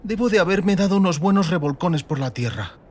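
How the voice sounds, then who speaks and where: Male narrator as injured teenage girl, dazed, raised pitch, inward thoughts, audiobook drama. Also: lively intonation, a little fast, darkish tone, slight background noise. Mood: pained, rueful.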